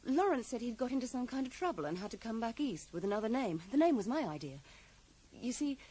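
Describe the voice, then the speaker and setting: Guarded, sharp-tongued woman, lively intonation. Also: a little high, quick pace, slightly rough. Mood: reluctant, defensive. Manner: curt, evasive, coolly defiant. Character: guarded, sharp-tongued woman writer shielding a dead lover. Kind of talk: radio drama acting. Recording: noisy 1980s radio drama broadcast.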